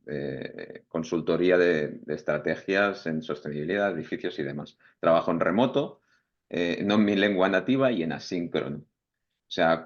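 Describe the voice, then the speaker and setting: A man's voice: deep voice, dull audio. A man on a professional panel asking a long, carefully built question. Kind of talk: round-table discussion.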